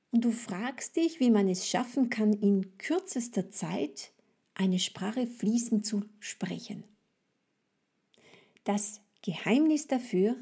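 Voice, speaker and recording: A woman with a French accent leads a podcast language lesson at an unhurried, slow pace. Her voice is soft-edged and mellow, with some huskiness. She explains things deliberately, addressing the listener directly as a coach would. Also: mid-range voice, slightly rough.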